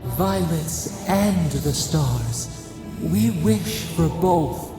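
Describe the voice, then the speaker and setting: A man voicing an ancient, mournful otherworldly spirit in a video game, solemn, cryptic and creaky. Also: on the high side, lively intonation, drawn-out words, exaggerated voice. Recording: long echoing reverb, music behind.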